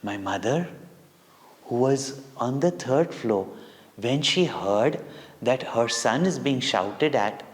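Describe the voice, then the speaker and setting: Slow-paced Indian storyteller man in echoing hall. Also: medium pitch, lively intonation. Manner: deliberate, theatrical, with dramatic pauses and emphasis. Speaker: spiritual teacher telling stories to children. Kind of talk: dramatic devotional storytelling for children. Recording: long echoing hall reverb.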